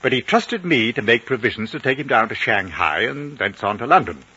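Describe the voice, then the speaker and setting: A gentleman storyteller in a 1950s radio drama. He is expressive and musing, with a raspy, animated voice. The old broadcast sounds dull. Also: mid-range voice, fairly quick. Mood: wondering, admiring. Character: animated gentleman storyteller admiring his adventurer friend.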